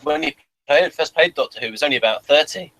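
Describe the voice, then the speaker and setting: A man's voice: fairly high pitch, fast talker, pushed and bright, a little hiss or hum. A man chatting casually as a podcast host and reviewer, opinionated and often doubling back on his phrases. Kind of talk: casual chat.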